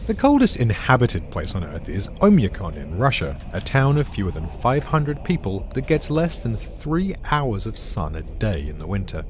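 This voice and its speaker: A man's voice: lowish voice, huge pitch swings, moderate pace, few pauses, soft background music. A British man giving dry, dramatic scripted podcast narration as the explainer.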